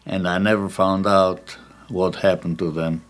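Low-voiced man in his eighties, from Poland. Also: little pitch movement. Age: 80s. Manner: measured, reflective recollection. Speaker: interviewee. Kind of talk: oral history interview. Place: his home.

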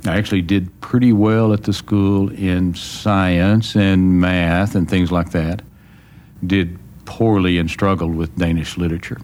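A man from small-town Texas with a Texan accent, giving plain-spoken, measured recollections as an interview guest. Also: sits low, steady tone, a little mellow. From small-town Texas, United States. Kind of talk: reflective personal recollection in an interview.

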